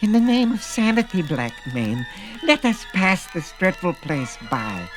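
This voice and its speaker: A man's voice: high voice, very animated, measured pace, faint music behind, low-quality recording. An actor playing a small, twisted, fearful man, a barbarian's companion who pleads anxiously in ornate 1970s fantasy audio drama style. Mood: pleading, fearful.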